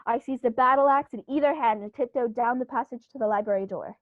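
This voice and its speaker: A woman's voice: on the high side, fast talker, lightly acted. A woman playing an upper-class male country squire in a 1930s-style radio play, speaking with theatrical, genteel emphasis. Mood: confiding. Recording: dull, muffled recording.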